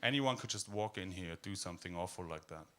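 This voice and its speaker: A man with an Austrian accent doing a stand-up set in a deadpan, darkly humorous way, full of fillers and hesitations. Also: deep voice, fairly quick. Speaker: stand-up comedian. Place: comedy club stage.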